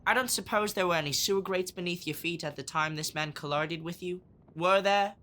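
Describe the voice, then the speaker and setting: A performer playing a quick, sharp-witted street boy who leads a gang of urchins and reasons things out briskly. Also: high voice, slight background noise. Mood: probing. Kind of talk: audio drama acting.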